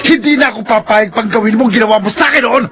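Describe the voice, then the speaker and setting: Radio drama casting: defiant truant son, young, high male voice, quick to retort. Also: fairly bright tone, faint music behind. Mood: bitter resolve. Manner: insolent, retorting. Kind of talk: Filipino radio drama acting.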